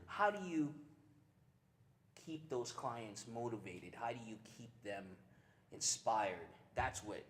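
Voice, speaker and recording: A man's voice: medium pitch, lively intonation, slow pace, a bit bright. A man in his forties, a personal trainer talking in an upbeat, joking way in an interview. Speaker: personal trainer, gym co-owner. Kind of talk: casual interview.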